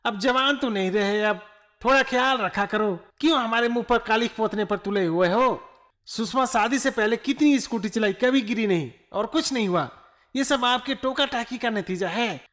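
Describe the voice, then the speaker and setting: A grown son from a cartoon moral story, played by a man. He is sure of himself and impatient, with a high-pitched voice for a man. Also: moderate pace, a bit bright. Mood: angry scolding. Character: grown son, scolding, impatient, self-assured.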